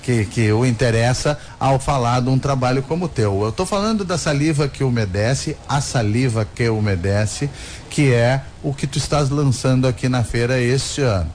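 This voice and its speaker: A man's voice: mid-range voice, normal speed, darkish tone, a little hiss or hum. A man hosting a book fair interview, talking effusively and digressively, with many restarts. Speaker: host.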